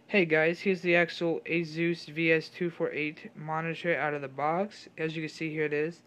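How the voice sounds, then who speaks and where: A young man with a fairly high voice, a little raspy from being sick. He rambles through a casual unboxing at his desk, filling pauses and correcting himself often. He is recorded on a camera mic. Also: flat delivery, a bit bright. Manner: rambling, many fillers and self-corrections. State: slightly raspy from illness. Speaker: tech unboxing vlogger. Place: home room at a desk.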